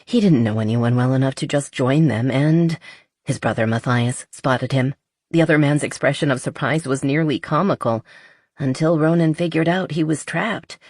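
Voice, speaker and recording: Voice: medium pitch, normal speed, darkish tone, dry recording, studio quality. An audiobook narrator reading a romance novel in a scripted storytelling style.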